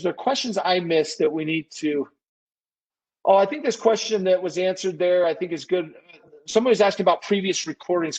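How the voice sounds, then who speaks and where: A man's voice: on the high side, low-quality recording. A man presenting an informal live webinar Q&A, conversational and thinking aloud, often correcting himself as he goes. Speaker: webinar presenter, business consultant.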